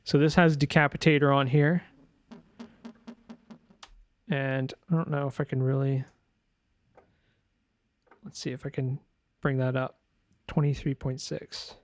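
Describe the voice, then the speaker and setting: A man's voice: medium pitch, level tone, measured pace, pauses often. A man giving an informal sound design tutorial, thinking aloud in a hesitant way as he demonstrates. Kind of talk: informal screen-share tutorial narration.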